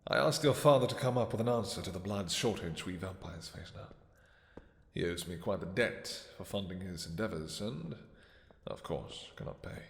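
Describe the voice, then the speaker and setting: A man playing an imperious, possessive vampire king in a romantic audio roleplay, speaking in a deep, soft, courtly voice with formal phrasing. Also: lively intonation, gravelly. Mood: possessive, smooth. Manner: formal, courtly, commanding, hesitant pauses. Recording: echoey hall with long reverb.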